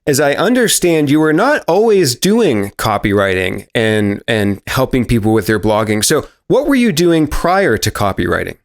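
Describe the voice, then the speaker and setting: A man's voice: medium pitch, sing-song, normal speed, few pauses. A man hosting an interview podcast, asking friendly, upbeat questions. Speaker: podcast host.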